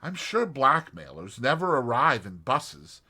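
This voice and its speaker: A man voicing an eager, excitable young woman adventurer in an audiobook, breathless and quick to exclaim. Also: mid-range voice, husky, voice pushed up, full character voice. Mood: prim. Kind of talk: audiobook character voice.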